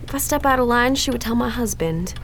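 A woman's voice: fairly high pitch, expressive, brisk, fairly bright tone. Woman acting fluttery Southern belle, faint noise. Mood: anxious. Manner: fluttery, folksy, hesitant stammer. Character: frightened, sugary Southern married woman in debt to gambling. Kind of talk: old-time radio drama acting.

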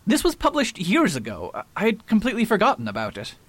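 An actor playing a proud, pompous detective in a radio drama, lofty and defensive in manner. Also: male voice, high-pitched, wildly swooping pitch, fast talker, fairly bright tone, no room sound, heavily acted. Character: proud pompous male detective, middle-aged.

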